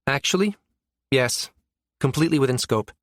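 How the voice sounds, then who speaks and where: A man's voice: mid-range voice, expressive, moderate pace, fairly bright tone, no room sound, clean studio sound, pitched above the performer's own voice, close to an everyday voice. A man voicing a skeptical, wry professional woman who muses emphatically, in an audiobook. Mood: puzzled, probing. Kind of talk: audiobook character dialogue.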